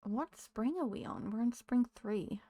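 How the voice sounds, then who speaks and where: A woman's voice: fairly high pitch, a little slow, warm tone, no echo, studio quality. A woman streaming a game, chatting casually with viewers while losing her voice. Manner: chatty, greets viewers, talks to game characters. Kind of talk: casual live-stream chat while playing.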